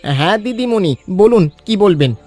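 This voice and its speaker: A man playing a loyal, protective village uncle in an animated children's story, blunt and aggrieved in manner. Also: high-pitched, moderate pace, faint music behind.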